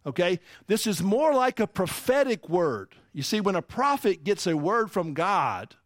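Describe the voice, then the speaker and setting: A man's voice: sits high, big pitch swings, a little slow, no echo, clean studio sound. A man preaching a sermon, teaching emphatically and asking the congregation rhetorical questions. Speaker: pastor.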